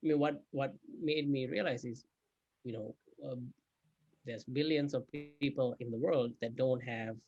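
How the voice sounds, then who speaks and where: A man from Sri Lanka, a podcast guest and energy investor, speaking haltingly with many fillers. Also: mid-range voice, steady tone, a little mellow, dry recording. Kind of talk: conversational interview answer. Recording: dull dry remote audio.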